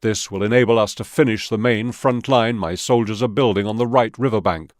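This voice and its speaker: An actor playing a stern Soviet army officer giving scripted mission briefings in a war game. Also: male voice, fairly low pitch, fairly quick, no room sound, studio quality, natural voice. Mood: grave, commanding.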